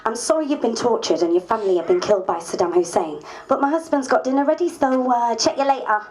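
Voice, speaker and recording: A woman's voice: fairly high pitch, lively intonation, fast, darkish tone, lightly acted, poor audio. A woman playing an outspoken, principled MP in a diary dramatisation, speaking in a wry, conversational way. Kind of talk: dramatised diary, dialogue and narration.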